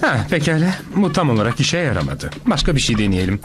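Coaxing cartoon therapist, played by a man, medium pitch, expressive, loud music behind. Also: fast, lightly acted. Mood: goading. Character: male therapist, coaxing, patronising, fumbling doctor. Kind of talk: animated cartoon dub.

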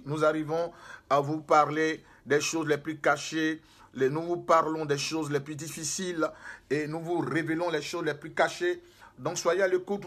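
A man preaches straight into a close phone camera from a small room, driving his points home by repeating them. His voice sits a little higher than most men's, and he talks with busy hand gestures. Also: a bit bright. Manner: emphatic, repetitive, gesturing with hands. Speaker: prophet and spiritual guide.

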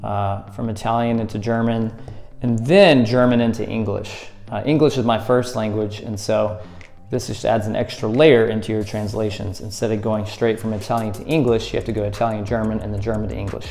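A man's voice: fairly low pitch, steady tone, fairly quick, vocal fry, a little mellow, faint music behind. A man in his thirties hosting a friendly how-to video, explaining step by step while he handles props at a table indoors. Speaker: escape room tutorial host. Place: indoors at a table against a plaster wall.

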